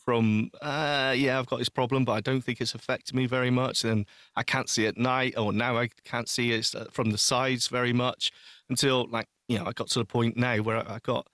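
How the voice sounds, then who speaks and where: A man co-hosting a podcast in casual, personal chat, speaking informally and haltingly as he thinks aloud. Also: medium pitch, little pitch movement, fairly bright tone, no echo, studio quality. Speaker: podcast co-host.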